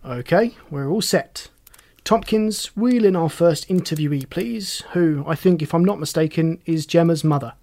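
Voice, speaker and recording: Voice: mid-range voice, moderate pace, a little mellow, close to an everyday voice. A narrator voicing a commanding, wry male police inspector in an audiobook, folksy and teasing with pointed questions. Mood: brisk, commanding.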